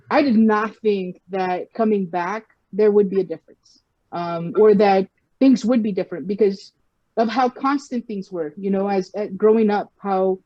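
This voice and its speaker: A woman's voice: mid-range voice, dark tone, dry recording. A woman with a South Texas accent, speaking frankly as a guest in a casual podcast conversation. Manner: frank, conversational, many fillers. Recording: slightly muffled lo-fi sound.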